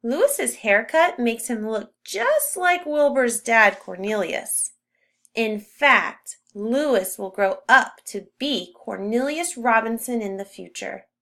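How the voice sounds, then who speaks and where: A woman's voice: fairly high pitch, expressive, slow, fairly bright tone. Woman reading a children's storybook aloud. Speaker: storybook reader.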